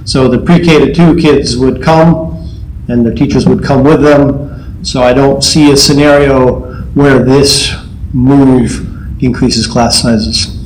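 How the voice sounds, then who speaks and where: A man answering a parent's questions at a school board meeting, calm and explanatory. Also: medium pitch, slow pace. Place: meeting room. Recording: roomy meeting hall audio, faint noise.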